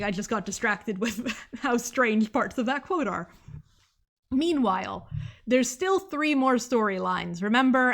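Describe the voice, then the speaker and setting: A young woman delivering a scripted book review video essay, earnest and analytical, drifting off into asides now and then. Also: on the high side. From United States. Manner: earnest, analytical, with sidetracks and small laughs.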